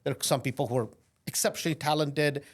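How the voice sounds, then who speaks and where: A man hosting an interview podcast, asking questions in a blunt, lively way and often checking with "right?". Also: fairly high pitch, quick pace. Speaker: podcast host. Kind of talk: conversational interview.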